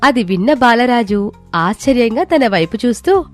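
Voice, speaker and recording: A woman's voice: a little high, animated, normal speed, faint music behind. A woman storyteller delivering scripted narration of a village tale.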